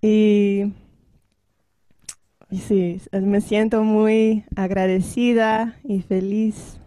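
A woman's voice: medium pitch, level tone, drawn-out words, mellow, dry recording. A woman from the Netherlands, a guest speaking careful learner's Spanish in short phrases and laughing often between them. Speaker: guest singer. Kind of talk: halting learner's Spanish with translation pauses.

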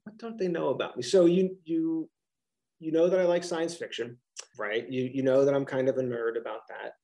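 A man's voice: mid-range voice, fairly even intonation, moderate pace, mellow. A middle-aged man with a Philadelphia accent, a college professor answering interview questions casually on a video call, with many restarts and fillers.

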